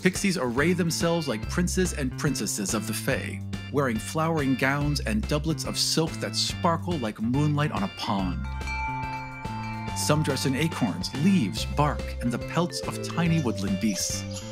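A man's voice: mid-range voice, lively intonation, measured pace, over music. A man co-hosting a podcast, either reading a script or chatting about games. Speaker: podcast co-host. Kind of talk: scripted reading and casual discussion.

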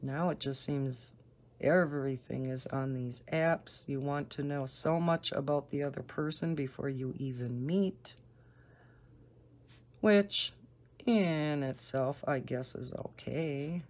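A woman with a Northern American accent and a low, soft voice, chatting casually and unhurriedly. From northern United States. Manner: unhurried, deliberately slow talking. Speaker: coloring video host. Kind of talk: casual rambling chat while coloring.

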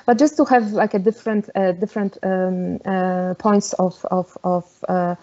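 A woman from Poland with a Polish accent, giving an online lecture over a video call, often pausing with fillers and restarts. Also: fairly low pitch, steady tone, warm tone, muffled recording. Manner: hesitant, many fillers and restarts. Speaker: webinar speaker.

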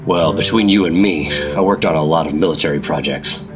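An actor playing a brusque, wisecracking 1950s secret agent in a radio drama, with music underneath. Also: male voice, extremely low pitch, fairly quick. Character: gruff hard-nosed male 1950s secret agent. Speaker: Operative-132.

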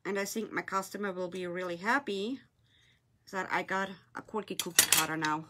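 A woman's voice: on the low side, moderate pace, pushed and bright. A woman with a German accent, English her second language, chatting casually to viewers as she demonstrates a craft on a live stream. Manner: chatty, loose grammar, talking to viewers while working with her hands. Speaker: craft shop owner hosting a live tutorial. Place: indoor craft room, at a glittery table. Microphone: phone or camera on a desk, filming her hands.

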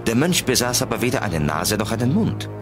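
A man's voice: mid-range voice, sing-song, fairly quick, music in the background. A man narrating an audio drama as a scripted storyteller.

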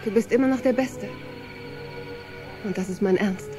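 An actress playing a warm, caring woman scientist and old friend in dubbed film dialogue. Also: mid-range voice, moderate pace, over music, close to an everyday voice. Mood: affectionate, sincere.

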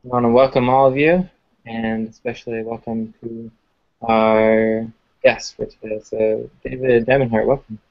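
A man hosting a podcast who closes a guided meditation in a calm, soothing way and welcomes a guest. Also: medium pitch, measured pace, vocal fry. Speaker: podcast host. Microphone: webcam on video call. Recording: dull lo-fi webcam audio.

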